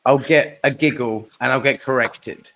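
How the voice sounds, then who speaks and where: A man's voice: mid-range voice, fairly even intonation, normal speed, creaky, fairly bright tone, a little hiss or hum, low-quality recording. A man hosting a podcast interview, talking casually and repeating words while he gathers his thoughts. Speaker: podcast host.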